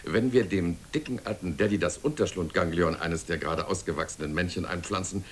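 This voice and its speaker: An actor playing a courteous, titled senior scientist in a radio play, genteel and deliberate in manner. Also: male voice, sits low, a little hiss or hum, lightly acted. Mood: keen, pleased.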